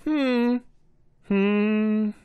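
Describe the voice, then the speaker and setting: A man playing a pompous old king in a storybook reading, handing out loud, drawn-out decrees. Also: high-pitched, clear, resonant tone, no room sound, phone-quality sound, pitched above the performer's own voice. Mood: commanding.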